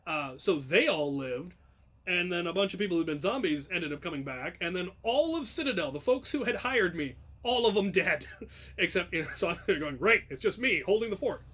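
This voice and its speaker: A man with a noticeably high voice tells a long story in a loose, conversational way. He wanders with ums, restarts and small chuckles at his own tale. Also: fairly bright tone. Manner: rambling, self-amused, frequent ums and restarts. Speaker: channel host telling a story. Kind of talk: casual storytelling.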